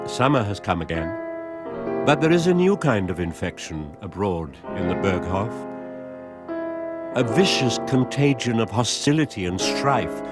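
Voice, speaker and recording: A man's voice: on the low side, very animated, unhurried. A man delivering scripted dramatic narration as the narrator of a radio play. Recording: loud piano music behind.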